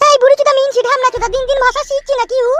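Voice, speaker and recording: Squeaky, very high-pitched defiant village wife. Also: female voice, level tone, racing, a little hiss or hum. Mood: indignant. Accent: rural West Bengal Bengali. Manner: argumentative, brazen. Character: shrill, defiant young village wife, sharp-tongued. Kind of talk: comic cartoon dubbing.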